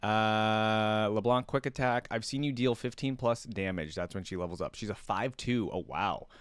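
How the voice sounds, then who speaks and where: A man's voice: on the low side, normal speed, clean tone, dry recording, clean studio sound. A man hosting a casual reaction video, talking enthusiastically and rambling with many fillers.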